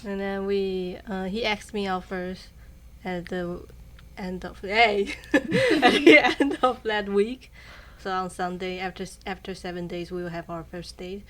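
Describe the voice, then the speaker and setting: A woman's voice: mid-range voice, steady tone, a bit bright, a little hiss or hum. Giggly young woman. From Taiwan. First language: Mandarin Chinese. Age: young adult. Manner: halting, giggly, searching for English words. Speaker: guest, married student. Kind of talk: casual podcast chat.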